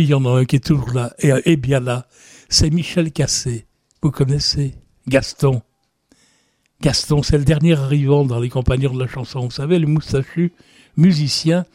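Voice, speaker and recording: A man's voice: mid-range voice, warm tone, dry recording. Chatty male radio host, dry sound. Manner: chatty, addresses listeners directly; muses aloud and corrects himself. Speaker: radio music show host. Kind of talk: casual radio presentation. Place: radio studio.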